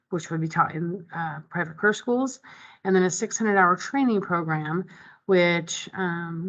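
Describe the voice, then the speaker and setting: A woman with a lower voice guides an online committee through its agenda. She talks in a loose, conversational way, full of ums and restarts. Her voice comes through dull, lo-fi video call audio. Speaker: meeting facilitator. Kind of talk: casual explanation at an online committee meeting. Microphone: video call microphone.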